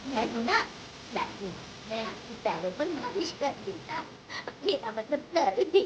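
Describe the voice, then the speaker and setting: A woman voicing a gentle, eager-to-please young man with a polite, coaxing manner in a cartoon story. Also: fairly high pitch, sing-song, a little slow, heavy background noise. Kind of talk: cartoon moral-story acting.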